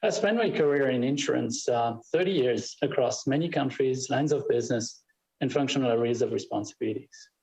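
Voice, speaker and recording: A man, a chief executive reading a formal prepared statement to a legislative committee in a measured way. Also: mid-range voice, a little mellow, poor audio. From Switzerland. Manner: measured, reading scripted remarks. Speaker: corporate chief executive testifying.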